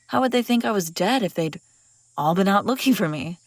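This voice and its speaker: A commanding fantasy princess on the run, acted by a woman, speaks directly to the listener. Her delivery is expressive and theatrical, wry and incredulous, at a medium pitch. The sound is close and dry, as in an immersive audio roleplay. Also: fairly quick, no room sound. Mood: stunned, questioning. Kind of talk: immersive audio roleplay acting, one side of a dialogue.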